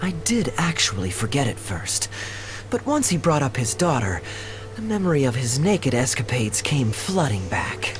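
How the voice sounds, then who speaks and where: Man playing a wry, expressive game captain. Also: medium pitch, moderate pace, close to an everyday voice. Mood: wryly amused. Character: composed, tactful, wryly amused male cavalry captain. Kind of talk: video game voice acting. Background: background music.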